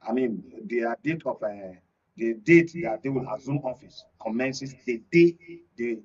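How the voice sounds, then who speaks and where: A man with a Nigerian accent giving political analysis as a panel guest, haltingly, often repeating and rephrasing. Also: medium pitch, darkish tone, husky, far from the mic, highs cut off.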